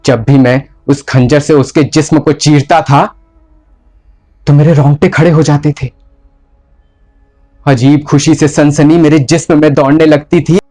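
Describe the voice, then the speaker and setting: A male actor playing a ruthless, tormented medieval sultan in a slow, deliberate theatrical monologue with heavy pauses. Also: mid-range voice, darkish tone, close to an everyday voice. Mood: dark confession. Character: brooding, ruthless, tormented medieval sultan confessing his murders. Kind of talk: dramatic theatrical Hindustani monologue.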